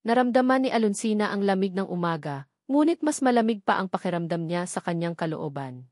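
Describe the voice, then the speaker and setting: A woman's voice: mid-range voice, expressive, fairly quick, darkish tone, no room sound, studio quality. A woman with a Filipino accent reads a scripted romantic drama as a story narrator.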